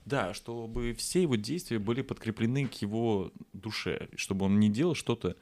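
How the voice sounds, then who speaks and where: Lower-pitched man in reflective casual chat. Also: moderate pace, a little mellow. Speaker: podcast host posing questions.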